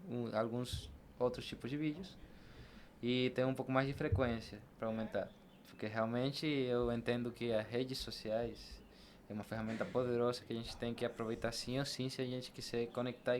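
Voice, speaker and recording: A man's voice: mid-range voice, fairly even intonation, normal speed. Venezuelan-accented man, noisy background. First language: Spanish. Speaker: podcast guest, barber. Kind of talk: conversational interview answers, personal anecdotes. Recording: clear background noise.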